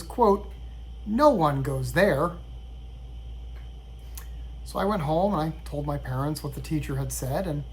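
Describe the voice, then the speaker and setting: A middle-aged man from Sweden telling a personal story in a measured, reflective way. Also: a little high, a little hiss or hum, poor audio. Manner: reflective, measured, with occasional restarts. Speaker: vlogger.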